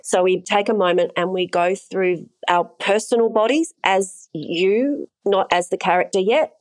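A woman's voice: medium pitch, unhurried, dry recording. A woman, an intimacy coordinator, talking through her work frankly in a casual interview and laughing easily.

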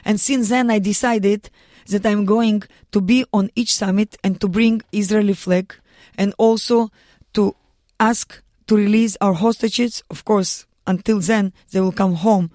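Russian-accented woman guest, earnest, halting English, mid-pitched, dry studio sound. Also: fairly even intonation, warm tone, no room sound. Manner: earnest, halting non-native English with restarts. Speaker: guest, mountaineer and lawyer. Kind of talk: radio studio interview. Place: radio studio.

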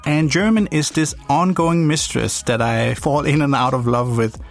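A young man from Denmark with a Danish accent, hosting a podcast in reflective, gently playful scripted narration. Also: a little high, normal speed, faint music behind. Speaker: podcast host.

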